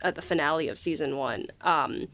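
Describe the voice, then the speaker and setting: A woman co-hosting a podcast in casual conversation, animated and acting out bits of dialogue as she talks. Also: deep voice, normal speed. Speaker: podcast co-host and writer.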